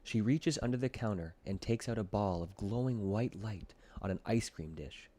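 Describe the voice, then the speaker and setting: A man reads a children's story as an audiobook narrator. His voice is on the low side. The delivery is scripted storytelling. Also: normal speed, mellow.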